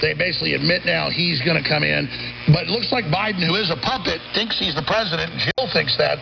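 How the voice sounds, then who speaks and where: A man with a gravelly voice, interviewing in an opinionated, conversational style as a political talk host. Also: on the high side, a little fast. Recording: dull, noisy shortwave radio relay.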